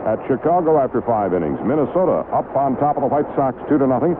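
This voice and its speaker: A man's voice: medium pitch, very animated, fast. An American man working as a baseball radio announcer, reading scores and recapping games. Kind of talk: sports scoreboard and game recap commentary. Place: ballpark broadcast booth. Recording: tinny, noisy 1970s AM radio broadcast.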